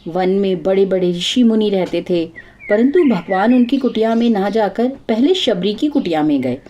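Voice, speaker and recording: Woman as a pious, headstrong young daughter-in-law, mellow. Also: medium pitch, lively intonation, moderate pace, muffled recording, natural voice. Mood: earnest. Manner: earnest, instructive, gently bossy.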